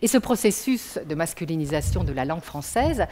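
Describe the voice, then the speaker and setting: A woman's voice: low voice, sing-song, a little fast, darkish tone, in a large hall, soft background music. A woman performing a declamatory stage text with emphatic, rhythmic recitation.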